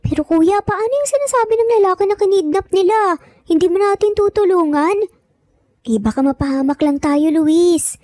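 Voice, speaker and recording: A woman's voice: very high-pitched, lively intonation, normal speed, mellow, dry recording, studio quality. A woman voicing an eager, earnest young boy in a dramatized story. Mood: worried, urging. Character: young triplet boys, eager and earnest little brothers.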